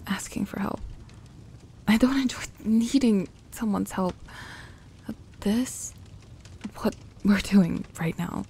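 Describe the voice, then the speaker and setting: A woman playing a young tsundere tomboy best friend in an intimate audio roleplay, grumbling and flustered but secretly affectionate, in a soft voice that often turns to whispering. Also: medium pitch, slight background noise. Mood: shy, soft. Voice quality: soft, breathy.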